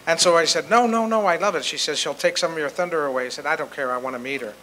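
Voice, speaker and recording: Older American man, bright forceful voice. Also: on the high side, fast talker. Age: 60s or older. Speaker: guest speaker at a convention. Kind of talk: informal anecdote to an audience. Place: hotel meeting room with seated audience. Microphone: handheld microphone. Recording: noisy hotel meeting room.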